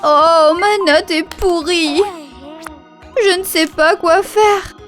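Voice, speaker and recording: An actor voicing an excitable, cheeky little lion cub in a children's cartoon, talking in singsong rhymes over music. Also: very high-pitched, takes it slowly, full character voice. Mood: whiny, playful. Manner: singsong rhyming delivery. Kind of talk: rhyming children's cartoon dialogue. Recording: light music behind.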